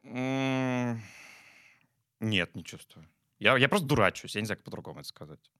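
A man's voice: mid-range voice, animated, normal speed, sharp tone, no room sound, studio quality. A man co-hosting a talk as a psychologist, chatting casually and reflectively, often searching for words. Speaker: psychologist and talk show co-host.

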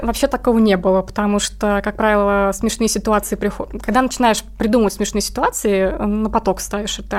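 A woman talking casually and informally as an interview guest, explaining things in a conversational way. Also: mid-range voice. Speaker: interview guest, comic artist.

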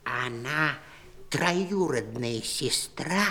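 An actor playing a fussy, deferential animal in a 1960s children's audio play, in a gravelly, rough voice. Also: male voice, medium pitch, lively intonation, extremely slow, sharp tone, heavily acted. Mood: fussy, hushed.

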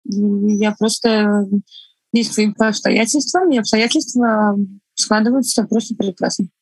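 A woman whose first language is Estonian, speaking with a mixed foreign accent as an interview guest, laughing often and pausing to find her words. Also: medium pitch, fairly even intonation, dry recording, low-quality recording. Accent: foreign, mixed from several languages. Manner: laughs often, thoughtful with halting restarts. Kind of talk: relaxed conversational interview.

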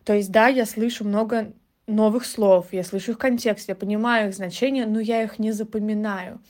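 A young woman recording a voice message for a podcast, describing her experience in a reflective, steady way. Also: mid-range voice, moderate pace. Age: young adult. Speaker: listener sending a voice message.

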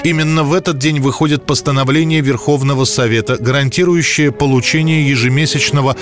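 A man tells scripted history as a programme narrator. His voice is medium in pitch and his phrasing is conversational with dramatic touches. Music plays clearly behind him. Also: fairly even intonation, a little fast.

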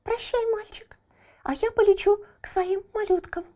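A woman's voice: very high voice, fairly even intonation, normal speed, warm tone, pitched above the performer's own voice. A woman voicing a tiny female bird in a children's fairy tale, chirping, grateful and helpful. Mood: helpful, cheerful. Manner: chirping, exclaiming.

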